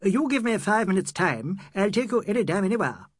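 Man voicing high-pitched, eager, flustered chauffeur. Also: very animated, a little fast, slightly rough, no room sound, studio quality, heavily acted. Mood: rallying. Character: flustered Eurasian chauffeur, eager, aping English manners.

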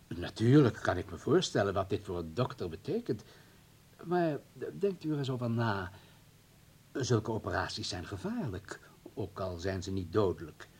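Male party official, radio play: husky, mock-courteous, quietly menacing, insinuating. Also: on the low side, expressive, moderate pace. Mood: falsely conciliatory. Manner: hesitant mock politeness, veiled threats.

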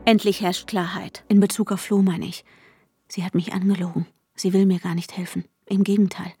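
An actress playing an anxious, determined woman in a radio drama, narrating intimately and urgently, often dropping to a hush. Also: mid-range voice, level tone, moderate pace, slightly rough, faint music behind. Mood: suspicious. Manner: urgent, often dropping into a hushed near-whisper. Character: anxious, determined young woman searching for her missing partner.

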